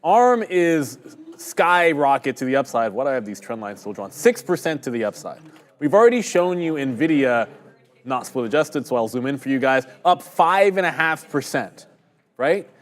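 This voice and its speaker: A man's voice: medium pitch, big pitch swings, moderate pace. A man on a live trading stream, walking through his chart calls in a loose, rambling way. He pokes fun at his own mistakes as he goes. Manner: rambling, conversational, self-deprecating. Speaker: day-trading stream host. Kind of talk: live market commentary.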